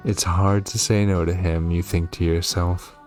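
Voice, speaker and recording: A man reading a story game aloud in an expressive storybook style. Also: low-pitched, normal speed, faint music behind. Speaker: gaming YouTuber reading a game's story aloud.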